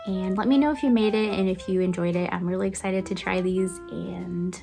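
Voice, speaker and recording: A woman's voice: medium pitch, fairly even intonation, brisk, vocal fry, dark tone. Chatty young woman, faint Brazilian accent. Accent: slight Brazilian. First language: Brazilian Portuguese. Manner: chatty and upbeat, with light laughs and small restarts. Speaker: lifestyle vlogger. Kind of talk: casual get-to-know-me chat. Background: faint guitar music.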